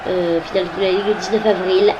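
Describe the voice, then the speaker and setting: A woman's voice: lowish voice, little pitch movement, rapid-fire, dull audio, background noise. A woman with a head cold commenting on a football video game, hesitant and full of filler sounds. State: has a cold.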